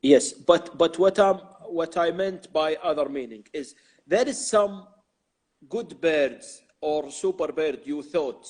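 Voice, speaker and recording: A man's voice: a little high, slow pace, a little mellow. A man whose first language is Arabic, hosting and translating an interview, often agreeing briefly and searching for words in English. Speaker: host and translator. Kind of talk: casual interview.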